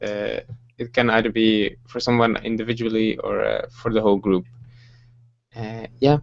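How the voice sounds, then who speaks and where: A man in his twenties from Belgium hosting a casual group livestream, relaxed and halting with many fillers and soft laughs. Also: fairly low pitch, vocal fry, dull audio. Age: 20s. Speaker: livestream host.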